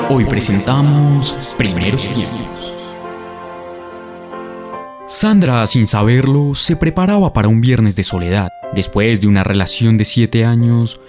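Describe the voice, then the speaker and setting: A man's voice: medium pitch, natural voice. A man playing the solemn narrator of a radio soap opera, reading dramatic script. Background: music.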